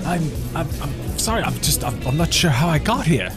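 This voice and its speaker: A man's voice: fairly high pitch, big pitch swings, normal speed. A man playing a bewildered, hapless slacker who stammers and hesitates through a radio comedy. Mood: uneasy. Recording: music behind the voice.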